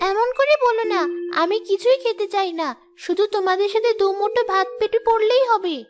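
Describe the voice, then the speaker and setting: A woman's voice: very high-pitched, fairly quick. A woman voicing a selfless, devoted little daughter in a moral-story cartoon, sweetly pleading, with music behind. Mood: earnest, caring.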